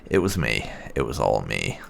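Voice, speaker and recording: A man's voice: rumbling low, big pitch swings, normal speed, gravelly, a little hiss or hum. A man giving roleplay commentary while playing a video game. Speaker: gamer playing and voicing his character.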